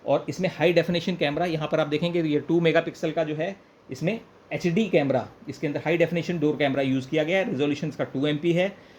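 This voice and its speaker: Higher-pitched Indian man demonstrating a product. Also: fairly quick, darkish tone. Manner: explanatory, repeats points with Hindi-English code-switching. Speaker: product reviewer and presenter. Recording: clear background noise, room ambience.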